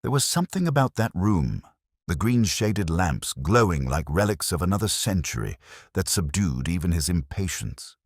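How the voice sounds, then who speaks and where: Very deep-voiced man narrating a detective story. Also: big pitch swings, breathy edge, no echo, clean studio sound. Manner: measured, literary, reflective. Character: brooding, reflective male English police inspector. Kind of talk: scripted first-person detective story narration.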